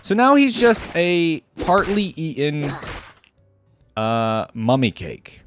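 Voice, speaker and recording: A man's voice: fairly high pitch, very animated, takes it slowly, faint music behind. A man giving casual reaction commentary on video game skins, rambling and interjecting as he thinks aloud.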